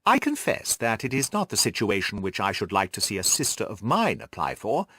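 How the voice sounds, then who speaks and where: A man voicing a Victorian gentleman detective in an audiobook, measured and analytical in manner. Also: lowish voice, sing-song, breathy edge, no room sound, voice pushed down, exaggerated voice. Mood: measured, cautious.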